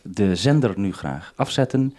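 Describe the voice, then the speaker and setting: A man with a fairly low voice hosts a two-way radio exchange. He talks off the cuff, haltingly, often breaking off and restarting his sentences as he feels for the wording. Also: animated, a little mellow, slight background noise. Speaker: radio programme maker and host. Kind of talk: unscripted two-way radio conversation.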